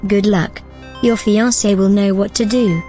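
Female actor as calm, playful helper in audio drama, medium pitch, composed delivery, light music underneath. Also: measured pace, lightly acted. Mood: playful, helpful. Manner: composed, matter-of-fact.

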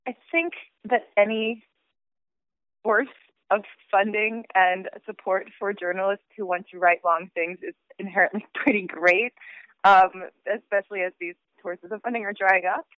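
A woman's voice: medium pitch, normal speed, smooth, no echo. Woman, 30s, from Switzerland, interview guest, thoughtful and hesitant, dry lo-fi phone-like audio. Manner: thoughtful, frequent fillers and restarts. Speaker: author interviewed about her book. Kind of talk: casual interview. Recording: tinny phone-like sound.

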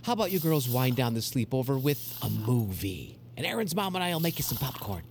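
An actress playing a doting, anxious parent, fussing and reassuring, in an audio drama. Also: female voice, very deep voice, very animated, fairly quick, a little mellow, slight background noise, full character voice.

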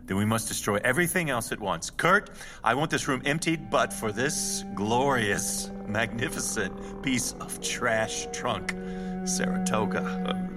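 An actor playing a greedy, bitter rich heir in an audio drama, haughty and sardonic with theatrical petulance. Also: male voice, medium pitch, lively intonation, a little slow, over loud music, close to an everyday voice. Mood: greedy excitement. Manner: haughty, sardonic, swooping delivery. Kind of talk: dramatic audio fiction acting.

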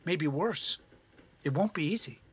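An earnest, husky-voiced president. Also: male voice, fairly high pitch, expressive, a little slow. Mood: worried. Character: earnest, remorseful American president in love. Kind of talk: audio drama acting.

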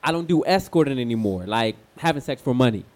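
Guy acting as a chill flirty dude guest on a radio show, mid voice, short halting answers, radio drama. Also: medium pitch, animated, close to an everyday voice. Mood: guarded, casual. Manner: laconic, casual, halting. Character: laid-back, terse, flirty male porn performer guest. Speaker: studio guest. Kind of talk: radio play acting as casual interview.

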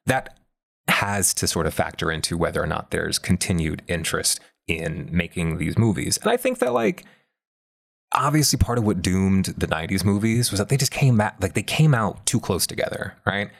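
A man's voice: sits low, big pitch swings, normal speed, creaky. A man co-hosting a casual, analytical discussion, thoughtful and often repeating words as he works out a point. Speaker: talk show co-host.

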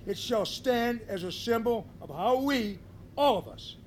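An earnest cartoon townsman in an audio comedy. His voice is very high for a man, with lofty, solemn speechifying. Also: lively intonation, measured pace, a little hiss or hum. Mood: grandly earnest. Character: earnest, grandiose male townsfolk creature.

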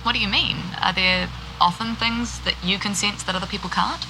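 An actress with a New Zealand accent playing a chatty, curious and friendly local interviewer in a naturalistic audio drama. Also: female voice, medium pitch, a little fast, noisy background, close to an everyday voice. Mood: inquisitive. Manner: chatty, inquisitive.

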